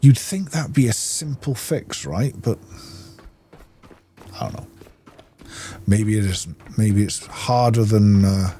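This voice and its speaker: A man's voice: fairly low pitch, expressive, takes it slowly, pauses often, mellow, slight background noise. A man giving casual gameplay commentary, rambling and thinking aloud as he goes. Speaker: gaming video host.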